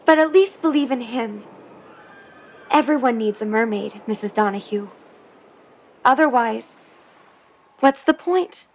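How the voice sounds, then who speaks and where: Young woman, self-proclaimed mermaid, high pitch, earnest, desperate, audio drama, dull lo-fi line. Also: a little slow, pauses often. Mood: earnest pleading.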